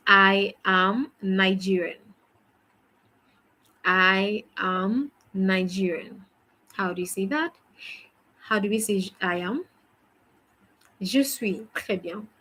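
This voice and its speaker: A woman's voice: mid-range voice, a bit bright, rough recording. A young woman with Ghanaian roots teaching a French lesson, who repeats short phrases patiently for the learner to say back. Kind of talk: slow, drilling language lesson.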